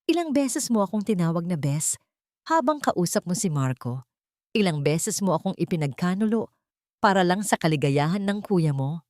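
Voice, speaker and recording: A woman's voice: fairly low pitch, sing-song, normal speed, a little mellow, no room sound, studio quality. Woman acting a wounded, proud young heroine. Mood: accusing. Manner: emotional, accusing, dramatic.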